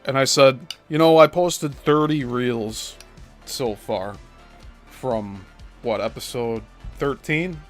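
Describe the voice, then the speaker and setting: A man's voice: mid-range voice, takes it slowly, soft background music. A man hosting a reaction commentary over clips, scornful and profane, mocking the person in them.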